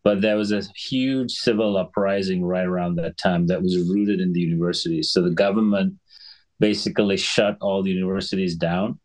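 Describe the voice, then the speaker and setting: A middle-aged man from Sri Lanka, a physician guest in a conversational interview, talking in a measured, explanatory way and often clearing his throat. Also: sits low, steady tone, a little mellow, poor audio.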